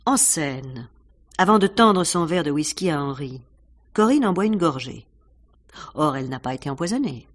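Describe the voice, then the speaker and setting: An actress playing a composed, worldly theatre wife in a French radio mystery, answering questions with dry, knowing candour. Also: female voice, deep voice, animated, moderate pace. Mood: thoughtful. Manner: matter-of-fact, knowing, dryly candid.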